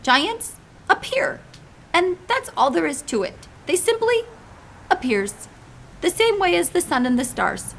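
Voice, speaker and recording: A woman's voice: very high-pitched, big pitch swings, measured pace, vocal fry, a bit bright, slight background noise, pitched above the performer's own voice, full character voice. A woman reading aloud and voicing a kindly, forlorn old male giant who mangles his grammar and invents words. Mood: insistent. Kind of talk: storybook read-aloud.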